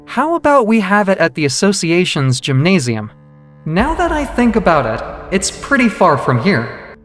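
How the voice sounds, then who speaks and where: A man voices a composed, confident young male hunter for a manga dub. His delivery is measured and thoughtful, with animated intonation. The voice rings with long reverb over background music. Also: sits high. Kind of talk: dramatic manga dub narration.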